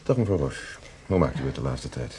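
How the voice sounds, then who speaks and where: Old radio play doctor, low voice, teasing but polite, bit of noise. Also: male voice, big pitch swings. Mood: kindly, reassuring. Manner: measured, gently ironic, courteous bedside talk. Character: genial male hospital professor, courteous, teasing, paternal doctor. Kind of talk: Dutch radio drama acting.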